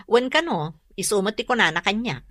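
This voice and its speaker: A bossy, suspicious neighbour in a radio drama. Her bright, sharp voice swings widely in pitch. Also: very animated, a little fast, no room sound, clean studio sound. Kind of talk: Ilocano radio drama acting.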